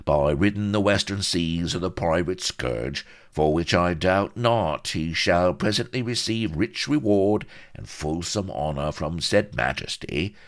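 A man voicing an oily, smirking fixer in an audiobook, smooth, slangy and ingratiating. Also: sits low, expressive, unhurried, few pauses, voice pushed up. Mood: smooth, sly. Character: oily, smirking, wheedling male fixer and blackmailer.

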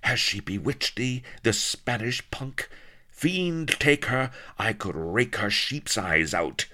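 Guy doing a husky vamp pirate lady, way higher voice, dramatic old-timey talk. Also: animated, slow, fairly bright tone, full character voice. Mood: raging. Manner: husky, theatrical, archaic speech. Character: sultry, scheming female pirate temptress.

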